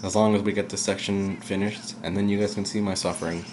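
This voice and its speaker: A young man giving casual, rambling commentary while playing a video game, his voice low and at times monotone. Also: fast, music in the background. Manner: rambling, chatty, drifts off topic. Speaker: let's play gamer. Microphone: camera aimed at the game screen.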